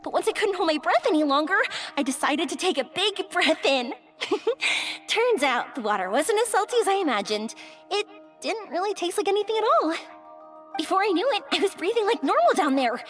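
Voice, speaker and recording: A woman playing a bubbly, energetic, cheerful young woman in a video game. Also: very high-pitched, moderate pace, faint music behind, full character voice. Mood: enthusiastic.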